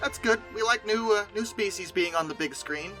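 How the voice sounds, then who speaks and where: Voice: mid-range voice, moderate pace, pushed and bright, faint music behind. A video host giving casual, opinionated commentary in a rambling, self-correcting, colloquial way. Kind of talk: casual commentary on film dinosaurs.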